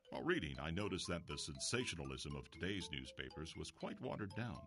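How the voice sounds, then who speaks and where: A man playing an earnest, inquisitive investigator in an audio drama, telling a mystery in a measured storytelling way. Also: low-pitched. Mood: reflective. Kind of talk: audio drama acting with first-person narration.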